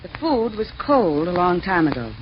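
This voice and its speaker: An actress playing a peeved but soft-hearted society girlfriend in a 1940s radio drama. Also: lowish voice, sing-song, normal speed, slight background noise. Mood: peeved, scolding. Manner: scolding, exasperated. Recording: dull 1940s radio recording, music behind.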